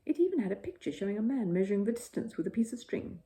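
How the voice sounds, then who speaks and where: A woman's voice: mid-range voice, very animated, very fast, mellow. A woman reading a children's bedtime story as a storyteller, in scripted narration.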